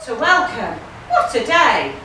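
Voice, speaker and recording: A woman playing an excitable young male outlaw hero as a pantomime principal boy. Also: on the high side, unhurried. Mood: excited. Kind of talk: broad pantomime stage acting. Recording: echoey hall, distant, crowd and noise.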